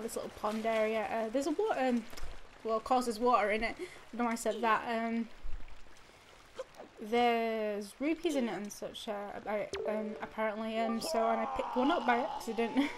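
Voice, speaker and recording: A young woman with a stuffy nose from a cold, giving rambling casual commentary over a video game, full of fillers and restarts. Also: fairly high pitch. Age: young adult. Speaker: let's play gamer. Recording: audible background noise.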